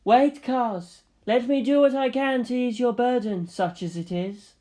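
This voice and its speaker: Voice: medium pitch, a little slow, warm tone, pitched above the performer's own voice, lightly acted. A narrator voicing an earnest, formal male leader in a story, speaking precisely and with some urgency. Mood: earnest explaining. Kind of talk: dramatic audiobook character acting.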